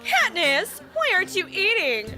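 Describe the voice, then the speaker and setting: Squeaky high, gushing socialite. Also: female voice, huge pitch swings, unhurried, full character voice. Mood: giddy, affected. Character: giddy, gushing Capitol socialite woman. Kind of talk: amateur radio drama acting. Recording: loud music and party crowd behind.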